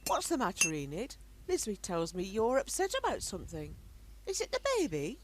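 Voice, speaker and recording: A woman's voice: medium pitch, wildly swooping pitch, moderate pace, a little hiss or hum. An actress playing an anxious, caring older mother and grandmother in an amateur wartime radio drama, earnest and motherly. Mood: concerned.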